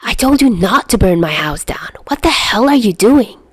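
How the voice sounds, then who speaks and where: A woman's voice: mid-range voice, sing-song, moderate pace, slightly rough, no room sound, pitched above the performer's own voice. A woman voicing a grumpy, sarcastic, foul-mouthed young male mafioso in an audio story. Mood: irritated. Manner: growling, sarcastic, swearing.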